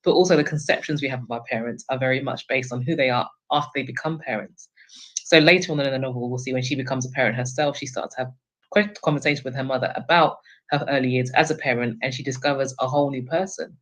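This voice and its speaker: Fast-talking woman discussing a novel. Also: very deep voice, rough recording. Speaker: book club panellist. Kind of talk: discussion, literary commentary.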